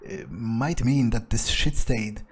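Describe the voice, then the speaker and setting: Man playing a husky, grumbling sardonic vampire. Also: mid-range voice, takes it slowly. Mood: disgruntled. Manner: grumbling, sarcastic, swears freely. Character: sardonic, grumbling young male vampire thinking aloud. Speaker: game player narrating in character. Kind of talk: in-character roleplay monologue, casual.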